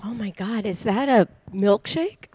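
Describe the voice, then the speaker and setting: A woman's voice: medium pitch, unhurried, warm tone, breathy edge. A woman playing a mild, hesitant wife and mother in improvised radio comedy. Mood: surprised.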